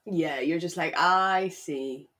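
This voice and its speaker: A woman's voice: sits low, slow, fairly bright tone. A British woman co-hosting a podcast in casual conversation, chatty and given to questioning her own words. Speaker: podcast co-host. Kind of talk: casual chat about a radio drama.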